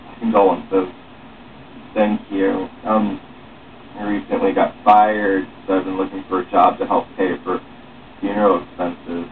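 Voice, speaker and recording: A young man doing an animated dramatic reading of dialogue, playing all the parts himself in a halting delivery. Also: low-pitched. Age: young adult. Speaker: author reading own script aloud. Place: small room at home, seated. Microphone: far camera or webcam microphone. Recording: muffled phone-like webcam sound, distant, noisy.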